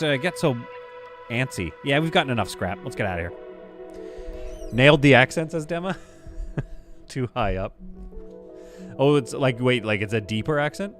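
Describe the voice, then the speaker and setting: A man streaming a game live, chatting animatedly with his viewers and laughing often. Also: on the low side. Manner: animated, chatty, quick to laugh, reads chat aloud.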